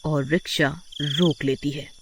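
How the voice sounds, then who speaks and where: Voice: mid-range voice, fairly even intonation, slow pace, noisy background. A narrator telling a scripted horror story in a storytelling style. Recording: loud music behind the voice. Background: loud music.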